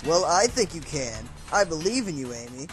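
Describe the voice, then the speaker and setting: A man's voice: fairly high pitch, sing-song, moderate pace, over music. A man voicing a confident, encouraging young hedgehog hero with an upbeat manner in a comic dub. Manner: upbeat, assured. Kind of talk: amateur comic dub voice acting.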